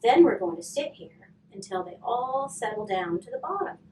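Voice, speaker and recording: A woman voicing a kindly, patient old grandfather who gently coaxes and sympathises in a children's storybook. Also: medium pitch, expressive, moderate pace, natural voice. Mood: coaxing. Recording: roomy, distant mic, faint noise.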